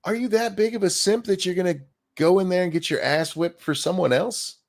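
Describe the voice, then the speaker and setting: A man's voice: high voice, fairly quick, gravelly. A man hosting a casual livestream chat, talking in a loose, rambling way with restarts. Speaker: host.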